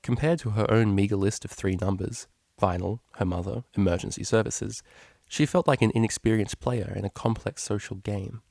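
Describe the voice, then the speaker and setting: A man's voice: fairly low pitch, steady tone, moderate pace, gravelly, a little mellow, no room sound, studio quality. A man reading a story aloud as scripted narration. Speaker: story reader.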